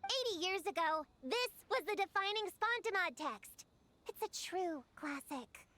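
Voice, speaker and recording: A woman's voice: very high-pitched, expressive, measured pace, pushed and bright, exaggerated voice. A woman voicing an eager, stubborn young girl investigator in a video game, earnest and a bit self-important. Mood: insistent.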